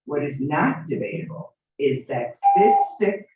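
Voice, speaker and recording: A man's voice: a little high, very animated, a little slow, darkish tone. A man reading an earnest prepared statement during public comment at a city meeting. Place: city commission chamber. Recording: distant very echoey muffled hall mic.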